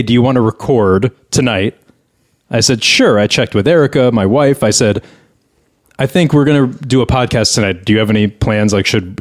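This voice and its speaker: A man hosting a podcast in casual comedic banter, sounding grumbling and exasperated. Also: on the low side. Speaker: podcast host.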